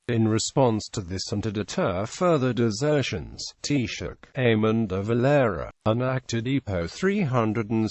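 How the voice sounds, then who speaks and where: A man's voice: fairly low pitch, a little mellow, no room sound. Man reading an encyclopedia article, dry sound. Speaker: narrator. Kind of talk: encyclopedia article read aloud.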